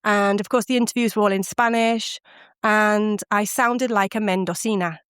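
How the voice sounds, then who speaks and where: A woman's voice: mid-range voice, steady tone, moderate pace, fairly bright tone, smooth, dry recording, studio quality. A middle-aged woman from the UK, a guest on a podcast, telling her stories animatedly and laughing often. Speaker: guest, language course founder. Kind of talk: podcast interview answers.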